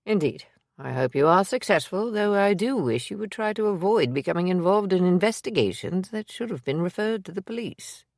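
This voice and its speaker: A woman's voice: mid-range voice, animated, a little fast, darkish tone, no room sound, clean studio sound, voice pushed up. A woman voicing a stern police inspector. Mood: firm. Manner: firm, formal, clipped. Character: middle-aged male police inspector, stern and stubborn.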